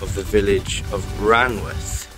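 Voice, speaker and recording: British guy doing casual outdoor vlog chat, loud music over it. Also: lowish voice, fairly even intonation, a little slow. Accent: British English. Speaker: vlogger reviewing walkie-talkies. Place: outdoors, windy.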